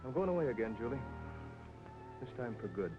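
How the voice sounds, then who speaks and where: An actor playing a troubled, world-weary lover in film noir style, talking in a terse, guarded way. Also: male voice, mid-range voice, big pitch swings, brisk, lightly acted. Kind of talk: film noir melodrama acting, mid-century. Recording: muffled old film soundtrack with music.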